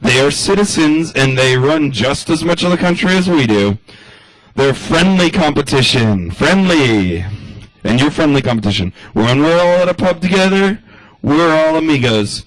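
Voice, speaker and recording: A man riffing through a stand-up monologue, like a radio address, loose and chatty with plenty of asides. His voice sits a little high and swings up and down a lot as he talks. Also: lively intonation, normal speed, dry recording, poor audio. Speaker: stand-up comedian. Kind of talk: rambling comic monologue in radio-address style.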